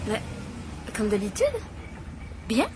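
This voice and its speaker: A woman's voice: medium pitch, sing-song, slow pace, noisy background. An actress playing a timid, devoted woman who is easily hurt, hesitating and repeating her words in a French radio drama. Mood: casual, guarded.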